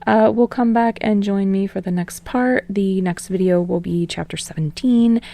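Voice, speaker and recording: Casual, creaky-voiced woman host. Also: medium pitch, moderate pace, warm tone. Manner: hesitant, informal, rambling. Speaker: podfic host. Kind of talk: casual chat to listeners.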